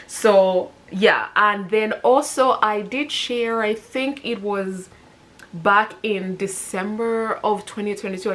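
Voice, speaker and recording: A woman's voice: mid-range voice, sharp tone. A woman in her thirties talking casually to camera as a personal finance vlogger, chatty and upbeat, often correcting herself mid-sentence. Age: 30s.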